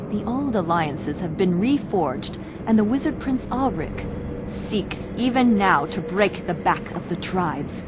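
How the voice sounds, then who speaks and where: Slow, haughty dark elf princess, played by a woman. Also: medium pitch, expressive, very noisy, close to an everyday voice. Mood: scheming, cool. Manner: formal, imperious, deliberate diction. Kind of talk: fantasy video game cutscene acting. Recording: loud music under the voice.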